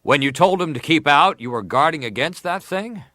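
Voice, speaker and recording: An actor playing a formal, persistent senator who questions a witness deliberately in a dramatised hearing. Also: male voice, on the high side, expressive, sharp tone, dry recording, studio quality. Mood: insistent, stern. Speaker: interrogator at a hearing. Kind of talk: dramatised reading of hearing testimony.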